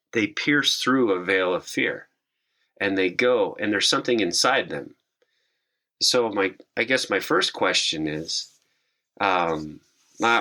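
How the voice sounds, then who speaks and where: A man hosting an interview podcast, asking slow, reflective, rambling questions. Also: lowish voice, sharp tone. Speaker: podcast host.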